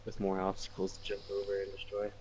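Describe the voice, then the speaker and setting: Very low-voiced man chatting casually. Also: completely flat delivery, quick pace, a little mellow, breathy edge. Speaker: player in a tabletop role-playing game. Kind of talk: casual chat.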